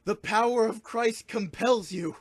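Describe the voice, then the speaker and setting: A man voicing an excitable, overdramatic demon-fighting hero in a told parody story, loud and emphatic. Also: high-pitched, a little slow, no echo, studio quality, voice pushed up. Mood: frantic. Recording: loud music behind the voice.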